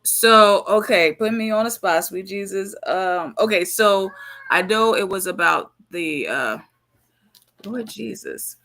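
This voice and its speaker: A woman co-hosting a podcast, chatting casually and pausing to search for her words. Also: mid-range voice. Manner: relaxed, searching for words with many fillers. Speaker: podcast co-host.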